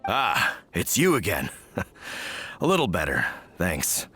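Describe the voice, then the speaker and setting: A man's voice: sits low, huge pitch swings, slow, bright, forceful tone, husky, faint music behind, heavily acted. A man voicing a polite, obliging villager in a video game, in a conversational way. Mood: friendly.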